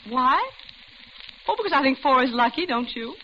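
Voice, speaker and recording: An actress playing a talkative, genteel, uneasy older widow in the style of 1940s radio drama. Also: female voice, high voice, normal speed, natural voice. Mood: coaxing, cheerful. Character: chatty, uneasy older widow, diner customer. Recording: noisy, dull vintage radio recording.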